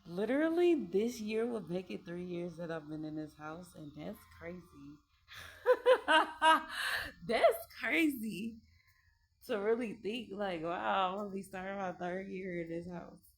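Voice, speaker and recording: A woman in her late twenties talking through her thoughts in a loose, rambling way at home, repeating phrases and laughing softly between ideas. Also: mid-range voice, animated, a little slow. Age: 20s. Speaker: vlogger. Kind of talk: reflective casual monologue. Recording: echoey room with faint ambience.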